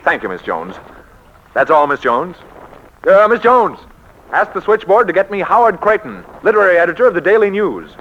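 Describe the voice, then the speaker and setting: An actor in a 1940s radio drama playing a flustered book publisher, urgent and placating. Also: male voice, high voice, big pitch swings, normal speed. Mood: harried. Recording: dull, slightly noisy 1940s radio recording.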